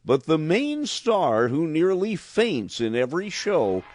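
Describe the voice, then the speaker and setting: A man's voice: on the high side, big pitch swings, takes it slowly, a little mellow. A man hosting an old-time radio program, presenting it with a scripted introduction. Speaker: host.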